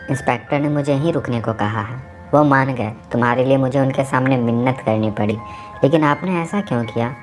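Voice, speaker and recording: A man narrating a novel aloud at home, with a sore throat from a cough, voicing the dialogue as he reads. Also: medium pitch. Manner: casual, mixes English words into talk. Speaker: audiobook narrator. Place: home recording, busy household.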